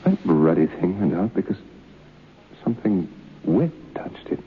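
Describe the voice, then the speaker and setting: Skeptical man alone in a haunted room, muttering, puzzled; low-pitched, dull and noisy radio drama recording. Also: big pitch swings, a little slow, full character voice. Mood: puzzled, irritated. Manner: muttering to himself. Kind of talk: radio play acting.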